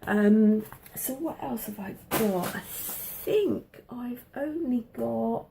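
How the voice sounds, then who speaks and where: A woman chatting casually to camera at home, with a slight cough and a dry throat, pausing often with ums and repeating words for emphasis. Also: fairly high pitch, animated, creaky, dark tone, slight background noise. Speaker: vlogger showing a food haul.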